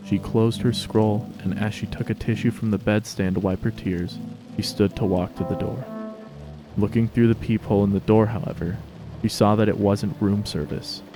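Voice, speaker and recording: A man's voice: on the low side, steady tone, normal speed, music in the background, rough recording. A man narrating a story as a scripted dramatic reading.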